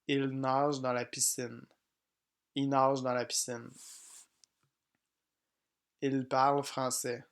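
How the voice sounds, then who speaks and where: A man teaching pronunciation, reading each example sentence slowly and plainly, often twice. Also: mid-range voice, level tone, clean tone. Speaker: French language teacher. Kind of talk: slow pronunciation drill.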